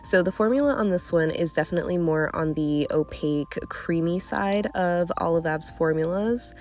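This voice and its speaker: Young woman, stuffy nose, lowish voice, chatty and candid, faint music underneath. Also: normal speed, darkish tone. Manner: chatty, candid, hedging with fillers. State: nasally congested. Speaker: nail polish reviewer. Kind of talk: casual product review vlog.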